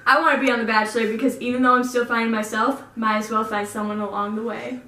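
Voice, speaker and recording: A woman doing a carefree young contestant announcing herself loudly, pitch slightly high and even. She sounds far off in an echoey room. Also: fast, close to an everyday voice. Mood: breezy. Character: airy young woman still finding herself. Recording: roomy and distant from the mic.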